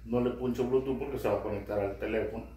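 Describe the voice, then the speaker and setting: A middle-aged man casually demonstrating a karaoke speaker in his living room, talking in a relaxed, upbeat way into a handheld mic. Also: medium pitch, quick pace. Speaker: product reviewer. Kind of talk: casual product demo. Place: small home living room, indoors. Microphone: handheld karaoke mic through speaker, filmed by far camera. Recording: echoey karaoke speaker, far camera.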